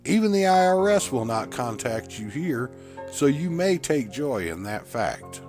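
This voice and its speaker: A man's voice: medium pitch, very animated, a little slow, over music, pitched above the performer's own voice. A man voicing a cold, smug prison warden in a horror audiobook, formal, condescending and emphatic. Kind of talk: dramatic horror audiobook reading.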